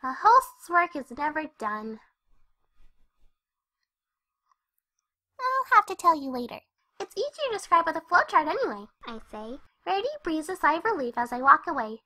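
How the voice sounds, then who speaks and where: Very high, bubbly cartoon pony girl. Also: fairly quick, full character voice. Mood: breezy, bubbly. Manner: chatty, scatterbrained, excitable. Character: Pinkie Pie, hyper cheerful young female cartoon pony. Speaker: first-person narrator of a fan fiction reading. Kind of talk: animated character narration.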